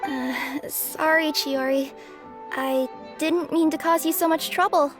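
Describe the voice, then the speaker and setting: A woman's voice: high-pitched, steady tone, takes it slowly, music in the background. A woman voicing a cheerful young cat-spirit courier girl who speaks politely and humbly, eager to please.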